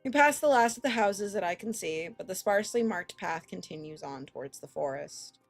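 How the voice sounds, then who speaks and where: A woman's voice: mid-range voice, lively intonation, brisk, creaky, soft background music. A woman streamer reading a visual novel aloud expressively, with casual, sweary reactions.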